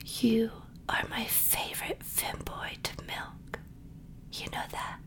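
A woman in an intimate audio roleplay, speaking softly and coaxingly, often dropping into whispers between breathy sighs and murmurs. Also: takes it slowly. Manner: soft, coaxing and doting, slipping into whispers.

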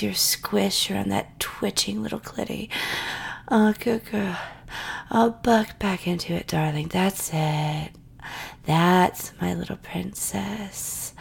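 A woman in an intimate audio roleplay, speaking softly and coaxingly, often dropping into whispers between breathy sighs and murmurs. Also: on the low side. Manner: soft, coaxing and doting, slipping into whispers.

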